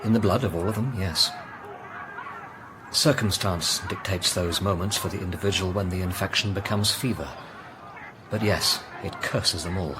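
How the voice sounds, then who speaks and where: Grave male doctor, played by an actor, low voice, measured, formal, cynical, ominous, radio drama, noisy backdrop. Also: close to an everyday voice.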